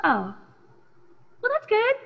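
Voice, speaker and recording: Excitable girl, very high, reverberant. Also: takes it slowly, gravelly, heavily acted. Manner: gushing, exclamatory. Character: excitable, naive young girl befriending a dragon. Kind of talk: audio drama acting. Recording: long cave-like reverb, slightly dull.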